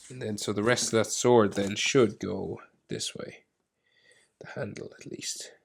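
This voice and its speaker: Slow, gravelly man with a stuffy cold. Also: on the low side, fairly even intonation. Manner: quiet, mumbling to himself, drifting into whispers. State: congested with a cold. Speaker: art tutor. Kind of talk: drawing tutorial voice-over.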